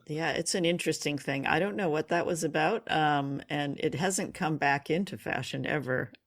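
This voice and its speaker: A woman co-hosting a casual review chat, offering her opinions in a measured, thoughtful way. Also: low voice. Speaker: podcast co-host. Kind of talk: casual review chat about an old radio play.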